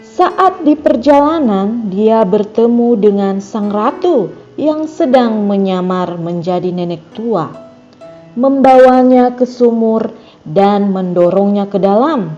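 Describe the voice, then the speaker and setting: Slow, measured woman recap narrator. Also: medium pitch, lively intonation, warm tone, dull audio, faint music behind. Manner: slow, measured reading aloud. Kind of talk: scripted story narration.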